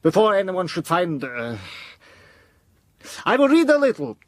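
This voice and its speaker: Audio drama vampire-hunting mentor: fairly high voice, swooping intonation, dry sound, grave and emphatic. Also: male voice, huge pitch swings, a little slow, no echo, exaggerated voice. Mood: reassuring, grave. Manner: theatrical, emphatic, solemn. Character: grave, commanding learned male mentor, vampire hunter.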